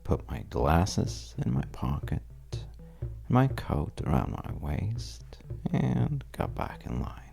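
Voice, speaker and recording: A man playing a charming, shifty stranger at a bar in an intimate audio roleplay, telling wry, teasing tales in a hesitant, gravelly way. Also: big pitch swings, slow, warm tone, faint music behind, exaggerated voice. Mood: wry storytelling. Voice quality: very deep, gravelly, breathy at times. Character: well-dressed, untrustworthy charming male stranger at a bar, bragging playfully.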